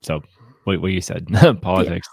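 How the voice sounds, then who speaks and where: A man co-hosting a podcast in casual, reflective discussion, speaking haltingly and often repeating words as he restarts. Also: low voice, fairly even intonation, vocal fry, breathy edge, no room sound, clean studio sound. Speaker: podcast co-host.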